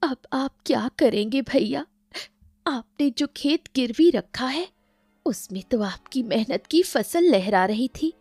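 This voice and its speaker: A woman acts out a wronged young sister in a cartoon moral tale. Her voice is raised high, plaintive and accusing, melodramatic in delivery, with faint music behind. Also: expressive, takes it slowly, darkish tone, no room sound, full character voice. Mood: tearful complaint. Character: tearful, reproachful young sister of the farmer. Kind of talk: melodramatic cartoon story acting.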